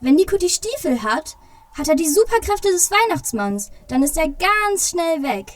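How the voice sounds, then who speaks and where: A woman's voice: very high-pitched, sing-song, moderate pace, a little hiss or hum. A woman playing a sensible, fretful little Christmas elf in a children's audio play. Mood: practical.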